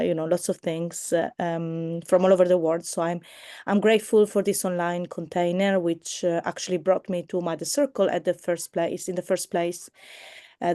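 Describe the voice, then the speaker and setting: An Italian-accented woman speaks in a mellow, smooth voice that stays within a narrow pitch range. She talks in a casual, hesitant way, with frequent fillers as she looks for words. The sound is dry and close, as on a video call. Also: no room sound. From Italy. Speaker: circle facilitator.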